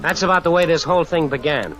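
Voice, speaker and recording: A man's voice: fairly high pitch, fast, over loud music, close to an everyday voice. An actor playing a sullen, tough young American workingman in a 1940s radio drama, terse and defiant. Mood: brooding narration. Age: young adult. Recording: hissy, noisy old radio broadcast.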